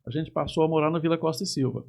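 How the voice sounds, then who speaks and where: A middle-aged man from Campinas, a TV news anchor reminiscing in a measured, casual interview. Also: on the high side, creaky, a little mellow. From Campinas, Brazil. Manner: reminiscing, measured, with frequent restarts.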